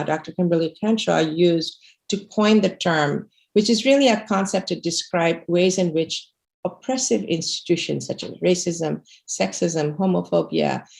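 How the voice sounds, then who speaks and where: Gravelly-voiced woman from Bangladesh, earnest. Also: fairly low pitch. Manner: earnest, many fillers and restarts. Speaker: guest speaker, activist. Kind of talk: presenting slides in a livestream talk. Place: home room indoors. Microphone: video call webcam.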